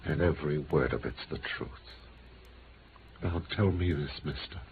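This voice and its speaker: An actor playing a bitter, quiet gray-haired con man of nearly sixty in a vintage radio drama. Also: extremely low pitch, moderate pace. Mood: earnest recounting. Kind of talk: 1950s radio drama acting. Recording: muffled vintage radio recording, faint hiss.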